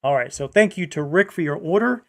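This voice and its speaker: A man with a fairly high voice and a heavy creak hosts a casual live chat. He is from Rhode Island and talks at length in a loose, rambling way. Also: animated, fairly quick, heavy background noise. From Rhode Island, United States. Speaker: live show host.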